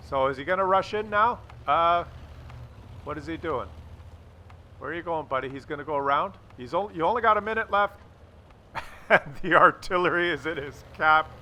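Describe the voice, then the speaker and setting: A man's voice: a little high, moderate pace, long pauses, sharp tone, a little hiss or hum. A man giving casual, rambling video game commentary in a conversational, mildly sardonic way. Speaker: gameplay commentator.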